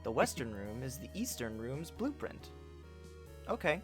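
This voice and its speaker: A game streamer giving casual, jokey, excitable live commentary and reading game text aloud. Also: medium pitch, a little slow, soft background music.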